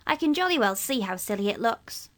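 Woman doing a brisk, teasing schoolboy. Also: a little high, sing-song, fairly bright tone, pitched above the performer's own voice. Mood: matter-of-fact. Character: sensible, teasing older schoolboy.

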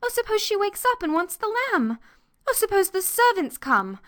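An earnest, responsible young sister from a storybook, acted out by a woman. The voice is high and sharp, leaping around in pitch, with a breathless, pleading manner. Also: sing-song, moderate pace, full character voice. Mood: frantic, tearful.

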